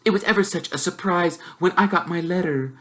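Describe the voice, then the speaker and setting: A man voicing a bossy, know-it-all young girl witch who chatters in a prim, brisk, self-assured way. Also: sits high, a bit bright, pitched above the performer's own voice. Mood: eager, boastful.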